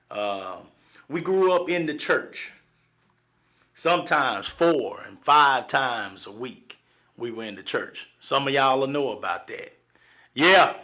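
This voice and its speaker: A man in his forties with a Southern accent, hosting a live show and telling stories from his childhood in a halting, folksy way, with many fillers and restarts. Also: medium pitch, bright, forceful tone. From East Texas, USA. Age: 40s. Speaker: livestream host. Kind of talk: personal storytelling on a live show.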